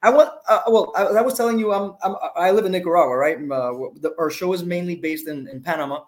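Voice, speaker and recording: Quick, bilingual Mexican-born male host. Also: high-pitched. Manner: hesitant, many fillers and restarts. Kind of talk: bilingual interview, translating.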